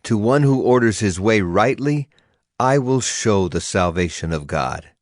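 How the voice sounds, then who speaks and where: A man's voice: lowish voice, lively intonation, measured pace, no echo, clean studio sound. A man giving a solemn, declamatory dramatized reading of psalms as a scripture narrator. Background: music.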